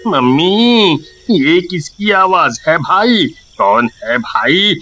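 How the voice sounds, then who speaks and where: Slow, drawling village drunkard. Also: male voice, a little high, expressive, noisy background. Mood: scared. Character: cocky, tipsy village drunkard in a cartoon.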